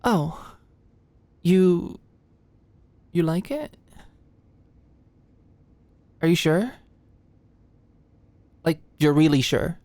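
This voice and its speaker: A woman's voice: on the low side, lively intonation, very slow, pauses often, mellow, dry recording. A woman playing an anxious, flustered young medical student in an intimate ASMR roleplay, with a hesitant, stammering, playfully defensive way of talking. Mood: shy, pleased. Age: young adult. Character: anxious, flustered, endearing short female medical student study buddy.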